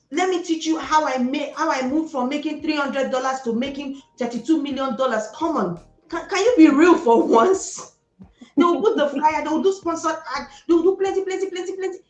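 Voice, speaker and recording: A woman's voice: sits high, echoey room, low-quality recording. A woman with a Nigerian Igbo accent, a psychologist speaking as a guest, giving emphatic motivational advice, repeating words for stress and slipping into Pidgin. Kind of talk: motivational conversation.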